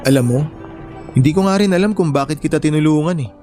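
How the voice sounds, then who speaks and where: An actor playing an easygoing, helpful young bachelor in a radio drama, with casual, conversational delivery. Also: male voice, on the high side, fast, warm tone, lightly acted. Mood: teasing, reassuring. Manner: casual, teasing, reassuring. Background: music bed.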